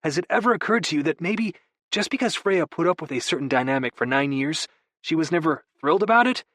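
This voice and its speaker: A bossy young brother who lectures with pointed, know-it-all confidence, as a male narrator puts him on. His voice is lifted well above the reader's own pitch. It is read dry and close for an audiobook. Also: animated, quick pace, fairly bright tone, no echo. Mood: lecturing.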